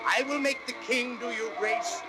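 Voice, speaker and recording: A man playing a fat, tipsy, fawning old knight, hailing loudly in drawn-out 1930s radio Shakespeare style. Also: extremely high pitch, fairly even intonation, over loud music. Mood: boastful, jubilant. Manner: loud drawn-out hailing over a crowd. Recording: noisy old radio recording, roaring crowd.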